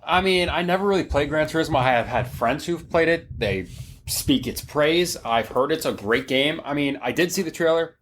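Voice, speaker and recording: A man's voice: on the high side, animated, moderate pace, fairly bright tone, a little hiss or hum. A man chatting casually and conversationally as a podcast co-host. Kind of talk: casual conversational chat, some swearing.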